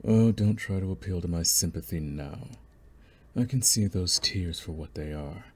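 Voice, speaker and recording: A man playing a young mafia heir in an intimate audio roleplay, smooth-spoken and deliberate, with a cunning, condescending streak beneath his courtesy. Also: deep voice. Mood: mocking, condescending. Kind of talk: intimate scripted audio roleplay, ASMR acting.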